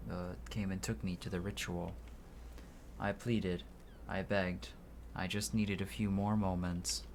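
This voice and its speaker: Man voicing a low, mournful lost soul. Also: fairly bright tone, a little hiss or hum. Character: lost, grieving married man, a restless sacrificed soul.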